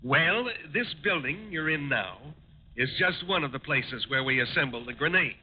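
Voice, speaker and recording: An actor playing a smooth, obliging older factory owner in a 1940s radio drama, formal and courteous. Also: male voice, fairly high pitch, animated, moderate pace, natural voice. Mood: courteous, wary. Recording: muffled, noisy old-time radio recording.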